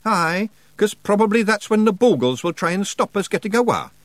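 A man's voice: high-pitched, lively intonation, normal speed, dry recording, pitched above the performer's own voice. A man voicing a tiny, boisterous male fairy warrior chief with a broad Scottish accent in an audiobook. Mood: blustering. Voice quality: broad dialect, blustering and cheerful. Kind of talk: audiobook character voice.

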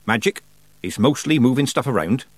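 A man's voice: medium pitch, animated, moderate pace, no room sound, pitched above the performer's own voice, close to an everyday voice. A man voicing a stern, prickly old country witch in an audiobook, with a growling voice and brusque, folksy turns of phrase. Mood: snappish. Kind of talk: audiobook character voice.

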